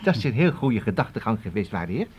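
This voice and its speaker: A man's voice: lowish voice, sing-song, fast talker, muffled recording, a little hiss or hum. An actor playing an eccentric, absent-minded inventor professor in a children's radio play, fussy and stammering, repeating his words. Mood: eager, affable.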